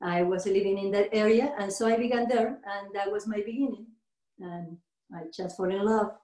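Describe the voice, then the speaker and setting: A middle-aged woman from Argentina with an Argentine Spanish accent. She is a yoga teacher being interviewed, speaking halting second-language English with many restarts and frequent laughter. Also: medium pitch, fairly even intonation, dark tone. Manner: laughs often. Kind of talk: casual interview conversation. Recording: roomy echo.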